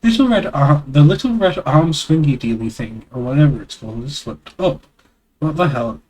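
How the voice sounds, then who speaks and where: A man's voice: on the high side, lively intonation, moderate pace, darkish tone, poor audio. A congested man streaming casually, reading a webcomic aloud and chatting in a rambling, self-deprecating way with casual swearing, on an external desk mic. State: congested, stuffy nose.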